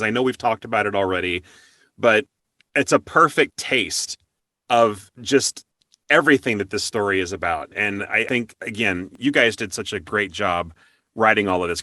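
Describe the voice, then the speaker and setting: Man, bright forceful voice, effusive interviewer, casual chat, dry sound. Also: fairly low pitch, no room sound. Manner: effusive, enthusiastic. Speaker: interview host.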